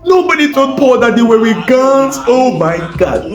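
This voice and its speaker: High-pitched West African man telling stories dramatically. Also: sing-song, moderate pace. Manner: dramatic, exclamatory, repeats phrases and asks rhetorical questions. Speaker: storyteller. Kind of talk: animated informal oral storytelling. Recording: roomy echo, faint music bed.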